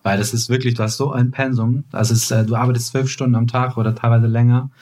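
A man's voice: medium pitch, level tone, quick pace, darkish tone. A man in his thirties, not a native German speaker, chatting casually as a podcast guest and teasing with mock-dramatic exaggeration.

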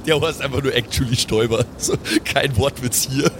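A man's voice: medium pitch, normal speed, noisy background. A man playing a tabletop game, bantering casually and mixing in English slang. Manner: animated, mixes in English slang. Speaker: tabletop role-play player.

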